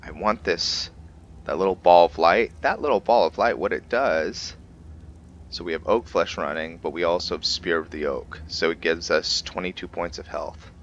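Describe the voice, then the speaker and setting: A man's voice: deep voice, normal speed, a bit bright, muffled recording, a little hiss or hum. A man giving casual commentary on a roleplay video game, thinking aloud to himself as he plays. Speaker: gamer hosting a roleplay playthrough.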